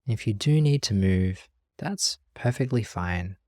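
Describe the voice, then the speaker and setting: A meditation teacher leading a guided practice in a gentle, calm, measured way with long pauses. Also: mid-range voice, no echo, studio quality.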